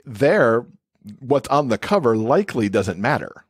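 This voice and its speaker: A man's voice: mid-range voice, very animated, unhurried, husky, no echo, clean studio sound. A man speaking as an interview guest, explaining things conversationally with frequent "you know" asides. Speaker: podcast guest, accessibility expert and author.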